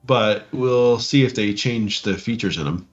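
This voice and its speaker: A man co-hosting a podcast, casually discussing tabletop game rules and haltingly thinking aloud as he reads. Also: lowish voice. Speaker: podcast co-host. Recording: dull lo-fi audio, music behind.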